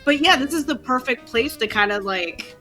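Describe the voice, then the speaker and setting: Young woman, kinda high bright voice, chatty and casual, bit of music in back. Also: lively intonation, normal speed. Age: young adult. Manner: informal, chatty. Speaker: participant in an online script-reading group. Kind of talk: casual chat.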